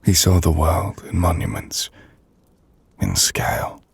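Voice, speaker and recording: A man playing a melancholy, gentle half-vampire nobleman in an intimate ASMR roleplay, speaking in a hushed, breathy, formal and reflective manner. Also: sing-song, no room sound, exaggerated voice. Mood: fond, wistful. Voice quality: low, gravelly, breathy. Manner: hushed, breathy, slow reflective delivery with formal, measured phrasing. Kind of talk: ASMR audio roleplay, intimate dramatic monologue.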